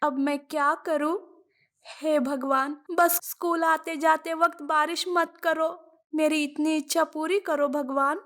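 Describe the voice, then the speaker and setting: Cartoon schoolboy played by a woman: poor, dutiful, anxious. High-pitched, unhurried, earnest delivery. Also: fairly even intonation, a bit bright, heavily acted. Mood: worried, pleading. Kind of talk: Hindi moral-story cartoon dubbing.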